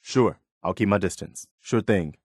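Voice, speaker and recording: A man's voice: low voice, animated, unhurried, breathy edge, no room sound, studio quality, exaggerated voice. A man playing an earnest, loyal militia officer in a video game, speaking sincerely and plainly with a sense of duty. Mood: cooperative, calm. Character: earnest, steadfast militia officer, loyal adult male companion. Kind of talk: acted video game dialogue.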